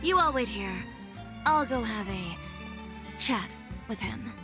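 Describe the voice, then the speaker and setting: A woman's voice: fairly high pitch, animated, slow, over music. An actress playing a proud female fashion designer with a fiery temper, speaking in a cool, dry, commanding way. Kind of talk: game voice acting.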